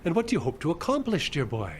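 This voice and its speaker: An actor playing a fussy, old-fashioned older gentleman landlord in a comic radio drama, with genteel, theatrical phrasing. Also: on the high side, huge pitch swings, fast talker, a little hiss or hum. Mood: wry, reproachful.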